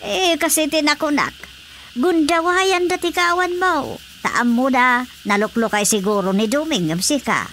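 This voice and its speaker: High-voiced gossipy Ilocano wife. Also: female voice, measured pace, a bit bright, a little hiss or hum. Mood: reassuring. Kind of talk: Ilocano radio drama acting.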